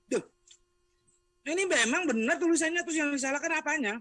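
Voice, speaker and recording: A man's voice: very high voice, a little fast, bright, forceful tone, no echo. A man arguing defensively in an online debate, often repeating himself. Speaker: debater acting as defence advocate. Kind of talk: argumentative debate talk.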